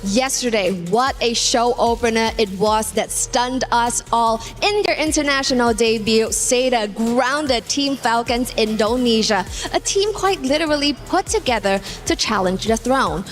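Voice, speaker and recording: A woman's voice: fairly high pitch, measured pace, hardly any pauses, soft background music. A woman presenting an esports show with a polished, announcer-like opener. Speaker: esports caster.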